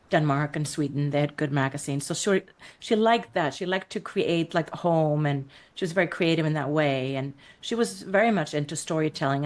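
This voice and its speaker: A middle-aged woman from Iceland, a podcast guest telling her life story in a reflective, unhurried way with many fillers. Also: sits low. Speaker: podcast guest, creative coach. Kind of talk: casual interview conversation.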